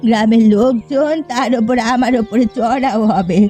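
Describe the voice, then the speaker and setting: A woman's voice: on the high side, normal speed, warm tone, soft background music, lightly acted. A woman voicing a bitter, scheming old mother-in-law in a village cartoon, complaining and scornful. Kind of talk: Bengali cartoon fairy-tale acting.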